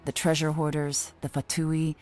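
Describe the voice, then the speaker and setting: A woman voicing a composed female intelligence agent in a video game, speaking in a calm, measured way. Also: low voice, natural voice. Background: game music.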